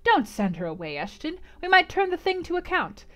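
A woman voicing a jovial, scheming military gentleman who addresses a drawing room of ladies. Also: very high voice, very animated, a little fast, voice pushed up, heavily acted.

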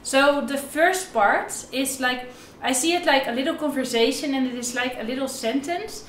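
A woman's voice: sits high, moderate pace, a bit bright, recorded from a distance, slight background noise. Young woman teaching, echoey room. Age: young adult. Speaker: violin teacher. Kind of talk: instructional tutorial talk, explaining to camera. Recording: roomy, echoey indoor sound.

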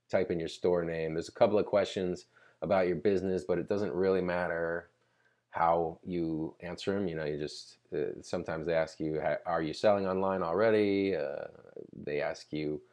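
A man giving a casual, unscripted screen-recording tutorial, explaining conversationally and pausing mid-sentence to think. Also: sits low, a little mellow. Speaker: tutorial presenter.

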